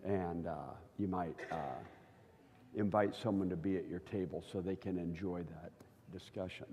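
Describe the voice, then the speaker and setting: A man's voice: sits low, steady tone, normal speed, mellow, slight background noise. A man in his sixties teaching at church in a conversational way, often checking in with his listeners. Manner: chatty, keeps checking in with listeners. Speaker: preacher. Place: church hall. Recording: long reverb of a church hall.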